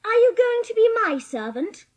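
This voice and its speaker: A woman's voice: very high-pitched, big pitch swings, moderate pace. A woman playing a spoilt, sullen little rich girl with an imperious, curt, formal manner in an audiobook drama. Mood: haughty.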